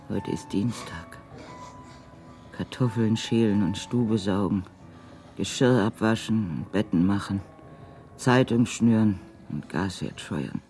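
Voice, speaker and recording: An overworked housewife in a radio play, anxious and dutiful. She speaks in repetitive, incantatory phrases, like a litany of chores. Music plays clearly behind her voice. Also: rumbling low, dark tone. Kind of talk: German radio play acting, incantatory interior monologue.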